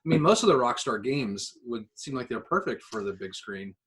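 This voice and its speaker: A man with a mid-pitched voice co-hosts a casual podcast. He talks informally and with enthusiasm, pitching ideas and tossing in fan asides. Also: animated, normal speed, fairly bright tone. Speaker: podcast co-host.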